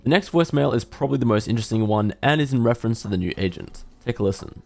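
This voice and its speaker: A man's voice: medium pitch, expressive, racing, vocal fry, darkish tone. A man delivering scripted video commentary as a gaming lore commentator.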